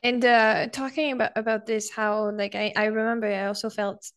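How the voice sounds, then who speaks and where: A woman whose first language is French speaks in a casual, halting way, with many fillers as she gathers her thoughts. Her voice sits at a middle pitch for a woman. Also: level tone, smooth. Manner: hesitant, many fillers, searching for words. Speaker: podcast host. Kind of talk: casual conversation.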